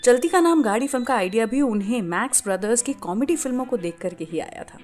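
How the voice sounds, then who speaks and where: A woman's voice: fairly high pitch, animated, fast, a little mellow, soft background music. A woman with an Indian accent hosting a radio show, telling stories in a scripted but conversational way, putting questions to listeners and mixing in English phrases.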